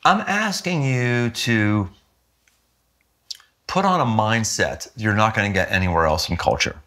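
A man in his fifties, a senior pastor, talking earnestly and emphatically in a seated interview in a living room. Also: measured pace. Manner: earnest, emphatic, with lively jumps in pitch when stressing points. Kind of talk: sit-down interview conversation. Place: living room with window, indoors.

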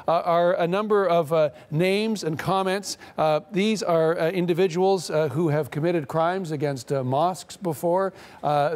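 A middle-aged man explaining news live in a studio, talking in halting phrases full of "uh" pauses. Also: a little high, a little mellow. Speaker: news correspondent.

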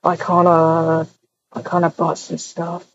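A woman puts on a laid-back young man in a game roleplay, dropping her voice low and mumbling softly. His delivery is quiet and unhurried, with a dull, lo-fi sound to the recording. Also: completely flat delivery, slight background noise. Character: calm low-key young man, boyfriend. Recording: dull lo-fi mic.

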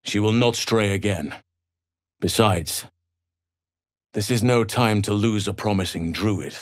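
An actor playing a solemn, gentle male druid of nature in a video game, speaking in a measured, earnest, formal way. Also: fairly low pitch, dry recording, clean studio sound. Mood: grave, earnest. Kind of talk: dramatic video game dialogue.

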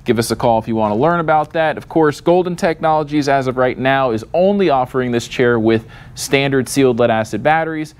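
A man's voice: mid-range voice, a little fast, hardly any pauses, clean tone. A man in his thirties, a store host, walking through product specs in an upbeat sales manner in a showroom. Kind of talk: product walkthrough. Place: showroom full of scooters.

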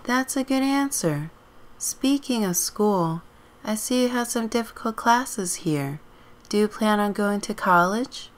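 A woman's voice: mid-range voice, lively intonation, a little slow, voice pushed up, lightly acted. A woman playing a courteous, businesslike female store manager conducting an interview in a scripted game story. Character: polite professional female store manager interviewing job applicants.

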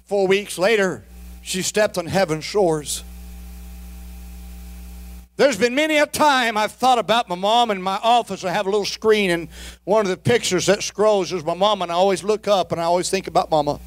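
A man's voice: high-pitched, normal speed. A middle-aged man preaching a sermon as pastor, emphatic and calling out to his congregation.

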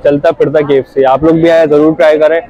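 A hoarse young man from Karachi vlogging casually at a night market, joking as he complains. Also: fairly high pitch, little pitch movement, fast talker, mellow, highs cut off. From Karachi, Pakistan. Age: young adult. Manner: jokey, playful complaining. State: hoarse throat. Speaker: vlogger, son. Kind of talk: casual street vlog. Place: crowded outdoor night market. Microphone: phone selfie at arm's length. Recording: busy crowd chatter behind.

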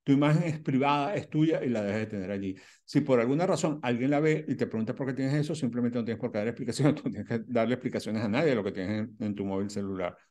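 A man's voice: medium pitch, fast, hardly any pauses, darkish tone. A man in his sixties speaking to camera as a channel host, explaining didactically with emphatic repetition and questions he answers himself. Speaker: host of a spirituality and prosperity channel. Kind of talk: direct-to-camera advice vlog. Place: small indoor room at home.

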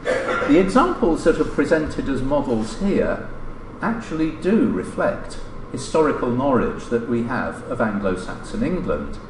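Guy reading a scholarly paper at a lectern, measured with pauses, really animated pitch, echoey room w a bit of noise. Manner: measured, scholarly, pausing mid-phrase. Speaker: academic lecturer reading a colleague's paper. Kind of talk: formal conference lecture read from a paper. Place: indoor lecture hall, at a lectern. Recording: echoey lecture room, distant mic, background noise.

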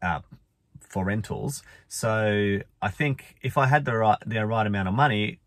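A man, croaky from a cold, chatting casually and rambling with lots of fillers as he vlogs from inside a car. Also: low-pitched, a bit bright, no echo, clean studio sound. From Australia. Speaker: finance vlogger. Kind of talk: casual talking-head vlog. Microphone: phone or camera at arm's length.

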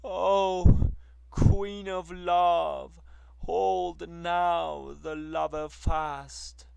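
A man voicing a grieving woman who pleads with a war god, drawn-out and impassioned. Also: sits high, fairly even intonation, creaky, a little mellow, clean tone, no echo, voice pushed up, full character voice. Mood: pleading.

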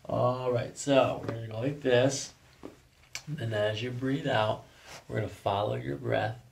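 A middle-aged man with a heavily creaky, gravelly voice gives calm, reassuring step-by-step instructions as a chiropractor at work. His manner is gentle. Faint noise sits behind him in the treatment room. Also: medium pitch, a little slow. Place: small office treatment room, indoors. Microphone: microphone on boom arm near the table.

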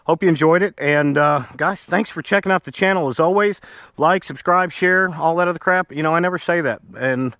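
A man's voice: fairly high pitch, a little fast. A middle-aged man giving casual, unpolished running commentary as he works outdoors. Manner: folksy, unpolished, talking to himself while working. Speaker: wasp removal vlogger. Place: open barn and yard outdoors. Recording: loud engine-like vacuum and outdoor noise.

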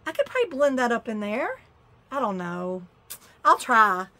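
A woman's voice: a little high, huge pitch swings, normal speed, sharp tone. Chatty woman unboxing a haul. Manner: chatty, exclaims and mutters while fiddling with items. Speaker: vlogger unboxing a shopping haul. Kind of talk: casual chatty haul vlog.

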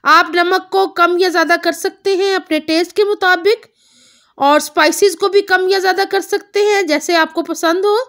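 A woman narrating a home cooking recipe step by step, calmly naming each ingredient and measure. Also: very high voice, normal speed. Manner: calm instructive, naming measures. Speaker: cooking channel host.

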